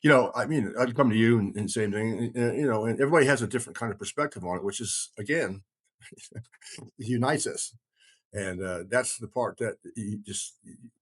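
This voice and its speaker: Rambling, low-pitched middle-aged man. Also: a little fast. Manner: rambling, emphatic, repeats phrases for stress. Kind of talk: casual conversational talk.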